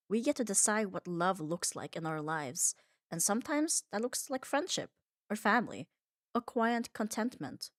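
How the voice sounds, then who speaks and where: A woman giving a calm, reassuring ASMR comfort monologue in an unhurried, soothing way. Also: fairly low pitch, dry recording, clean studio sound. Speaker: ASMR audio creator.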